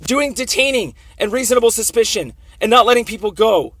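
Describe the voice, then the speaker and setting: A man's voice: extremely high pitch, expressive, a little fast, pushed and bright. A man arguing his complaint on a phone call in an insistent, repetitive way, often talking over the other person. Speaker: complainant calling police internal affairs. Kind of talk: argumentative phone conversation.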